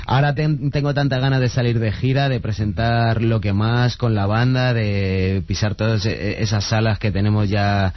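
A man musician from Spain speaks in a low voice, thoughtful and halting with frequent restarts. The sound is dry and close. The audio is muffled like radio. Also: steady tone, dry recording. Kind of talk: radio interview guest.

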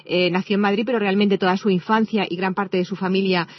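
A woman's voice: medium pitch, steady tone, quick pace. A woman with a Castilian Spanish accent interviewing respectfully and attentively in a conversational way, using formal address. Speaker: radio interviewer. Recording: slightly dull radio sound.

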